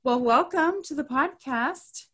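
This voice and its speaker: A woman's voice: high voice, expressive, slow pace, a bit bright. A young adult woman hosting a podcast interview, upbeat and laughing often in casual chat. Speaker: podcast host.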